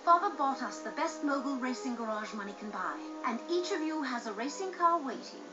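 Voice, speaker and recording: Earnest, high-voiced young heiress. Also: female voice, animated, fairly quick. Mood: rallying. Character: earnest young woman, a crime boss's daughter. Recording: muffled game audio with music.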